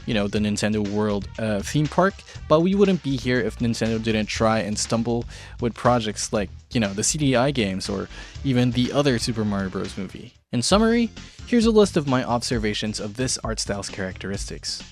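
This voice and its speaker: A man's voice: lowish voice, animated, fairly quick. Casual male tutorial host with music behind. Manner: casual, playful, tongue-in-cheek asides. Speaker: video show host, art tutorial presenter. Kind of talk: semi-scripted art tutorial narration with casual asides.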